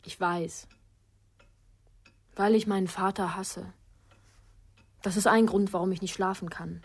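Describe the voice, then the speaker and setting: A woman's voice: mid-range voice, steady tone, unhurried, husky. An actress playing a guarded, fearful young woman in a radio crime drama, speaking in short, reticent statements. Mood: subdued. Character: guarded, fearful young woman protecting her half-brother.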